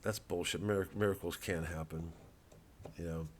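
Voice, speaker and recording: A man's voice: low voice, steady tone, moderate pace, a little hiss or hum. A man, a comedian, talking casually to camera in a rambling way, with stammering restarts and free swearing.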